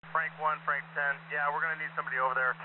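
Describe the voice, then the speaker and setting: A man's voice: fairly high pitch, level tone, very fast. A man working as a police officer, speaking in procedural, clipped radio phrases over a police two-way radio. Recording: very noisy, phone-like police scanner, distant.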